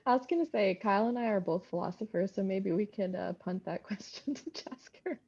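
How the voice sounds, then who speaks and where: A woman philosopher on a panel, answering questions thoughtfully with frequent pauses and fillers over a video call. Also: mid-range voice, lively intonation, mellow, slightly rough, muffled recording. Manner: thoughtful, halting, many fillers.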